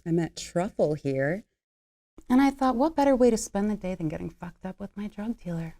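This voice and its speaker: An actress playing a brash, playful young party girl in a screenplay table read, with an excitable, teasing, slangy delivery. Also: medium pitch, normal speed, natural voice.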